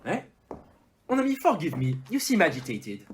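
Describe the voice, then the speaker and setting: A courteous, self-assured detective played by an amateur actor. The delivery is theatrical and precise, with a very animated melody that rises and dips, at a middling pitch. It belongs to an audio mystery play. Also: moderate pace, a little hiss or hum. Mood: measured. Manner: theatrical, courteous, sprinkles in French words.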